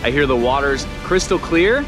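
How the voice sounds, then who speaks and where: An upbeat man giving casual, excited narration for a sailing vlog. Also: mid-range voice, moderate pace, over music. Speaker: vlogger narrating a family sailing trip.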